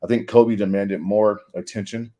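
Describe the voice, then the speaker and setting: A man's voice: fairly low pitch, fairly even intonation, normal speed, dark tone. A man on a sports debate panel, talking casually and disagreeing firmly, often restarting his phrases as he makes his case.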